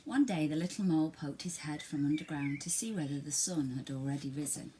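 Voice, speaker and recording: A woman reading a children's story aloud in an expressive, dramatic way. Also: sits low, brisk, a little hiss or hum. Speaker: storybook reader.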